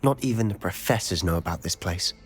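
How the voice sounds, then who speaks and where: A man's voice: low voice, expressive, fairly quick, husky, soft background music. A young man playing a confident, secretive student in a game cutscene, eager and conspiratorial. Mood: confiding.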